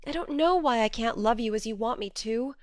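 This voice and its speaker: Een vrouw als een ernstige, eigenzinnige jonge vrouw. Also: female voice, a little high, animated, a little fast, no room sound, studio quality, pitched above the performer's own voice, natural voice. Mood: remorseful. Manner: earnest, reasoning, gently firm. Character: earnest, strong-willed young woman, remorseful.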